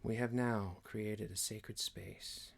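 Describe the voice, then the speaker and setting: A man performing an ASMR roleplay as a calm, genteel boss, speaking in a hushed, deliberate, soothing, often near-whispered way. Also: on the low side, gravelly. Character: calm, genteel male boss leading a relaxation ritual. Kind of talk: ASMR roleplay, guided relaxation.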